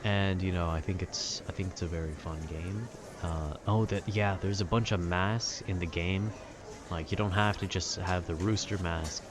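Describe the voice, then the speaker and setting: A man in a calm, casual, nerdy ramble about video games, meandering and often interrupting himself. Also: low-pitched, normal speed, noisy background. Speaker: solo audio creator rambling to the listener. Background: urban ambience.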